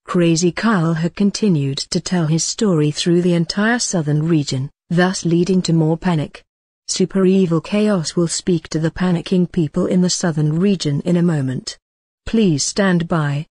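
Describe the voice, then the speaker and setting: Female voice, emergency alert announcer, scripted, formal, measured, slightly rough husky tone, dry close sound. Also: lowish voice, a little mellow, no echo.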